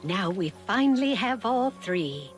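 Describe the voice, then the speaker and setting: Benevolent fairy-godmother type in a game: unhurried, very melodic, knowing, soft music underneath. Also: mid-range voice, sing-song. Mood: gentle, assured. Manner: gentle, knowing, theatrical, coaxing. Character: kindly, mysterious older fairy-godmother-like enchantress. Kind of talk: scripted video game cutscene acting.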